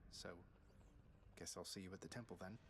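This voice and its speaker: An actor playing an earnest, slightly wry young prince of the underworld in a video game. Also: male voice, deep voice, a little fast. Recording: noisy game audio under stream.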